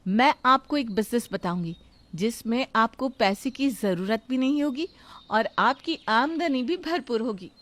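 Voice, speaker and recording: A woman plays a young married woman in a moral story. She is practical and fed up, speaking her mind insistently in a high voice. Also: animated, a little slow, a bit bright, no echo. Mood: earnest. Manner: scolding, insistent.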